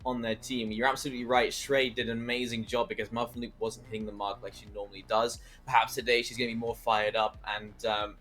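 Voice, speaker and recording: A man's voice: medium pitch, flat delivery, fast talker, hardly any pauses, fairly bright tone. A male esports analyst giving confident, flowing panel commentary.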